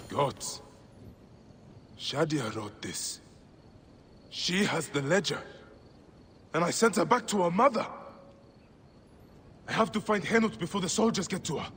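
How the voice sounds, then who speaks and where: An actor playing an earnest, protective and vengeful warrior of ancient Egypt in a video game cutscene. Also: male voice, fairly high pitch, expressive, a little slow, long pauses, a bit bright, slight background noise. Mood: urgent, alarmed.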